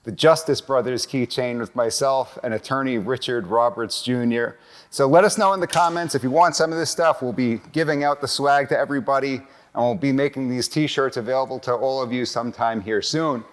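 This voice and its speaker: A man's voice: medium pitch, normal speed. A man hosting a casual interview podcast, upbeat and promotional, recorded in a cigar lounge. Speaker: podcast host and lawyer.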